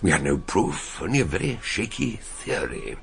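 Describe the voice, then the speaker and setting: An actor playing a genial, chuckling detective who also narrates a radio drama, with a gravelly, husky voice. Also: male voice, low-pitched, sing-song, moderate pace, full character voice. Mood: amused. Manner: genial, chuckling, fond of long words. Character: Dr Gideon Fell, jovial older male detective narrator.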